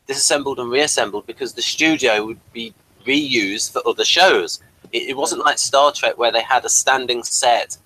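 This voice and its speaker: Bright-voiced man, halting and rambling. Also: mid-range voice, poor audio. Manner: halting, rambling, repeats and restarts phrases. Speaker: podcast co-host. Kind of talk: casual fan discussion with behind-the-scenes trivia.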